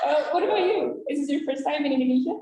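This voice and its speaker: A young woman hosting a casual talk show, cheerful and quick to laugh. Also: sits high, lively intonation, heavy background noise. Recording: echoey room.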